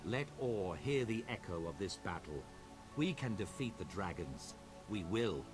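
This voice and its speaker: A solemn war commander rallying his allies in a fantasy game, his voice a little low and rising and falling with feeling. He speaks gravely and deliberately, with rousing resolve. A full orchestral score swells behind him. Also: very animated. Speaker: game character, military leader. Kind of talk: video game voice acting. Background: orchestral game music.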